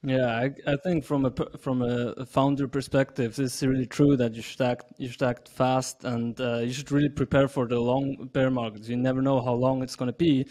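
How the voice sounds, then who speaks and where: A man's voice: mid-range voice, flat delivery, normal speed, few pauses, dark tone. A young man from Switzerland on a video call panel, speaking earnestly into a podcast mic with frequent restarts. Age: young adult. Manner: earnest, hesitant with many restarts. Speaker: startup CEO panelist. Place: small room at home. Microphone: large podcast mic.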